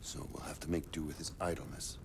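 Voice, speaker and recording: A man's voice: rumbling low, little pitch movement, fairly quick, a little hiss or hum. A man playing a dry, stern, disapproving medieval nobleman in a game cutscene. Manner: formal, dry. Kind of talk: dramatic video game cutscene acting.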